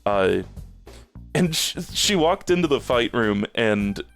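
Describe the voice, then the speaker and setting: A man's voice: mid-range voice, very animated, takes it slowly, soft background music. A man streaming a game, rambling in casual chat with plenty of swearing and fillers, a bit phlegmy in the throat. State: a little phlegmy.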